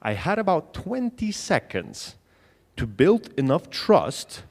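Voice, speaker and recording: A man's voice: fairly high pitch, wildly swooping pitch, slow, vocal fry, darkish tone. A man with a Polish accent giving a conference talk, telling a story and putting questions to the audience. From Poland. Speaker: conference speaker on sales. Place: conference hall. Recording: long hall reverb.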